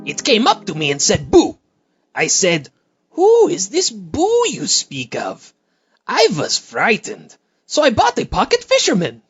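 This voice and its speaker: A woman acting a dim, dreamy oddball in a comedy audio drama, guileless and innocent. The high voice is very sing-song and unhurried, over clear music. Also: wildly swooping pitch, lo-fi sound. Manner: guileless non sequiturs.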